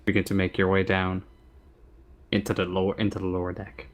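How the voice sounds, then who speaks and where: A man acting as game master, improvising narration for a tabletop roleplaying game in halting, repeated phrases. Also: low-pitched, monotone.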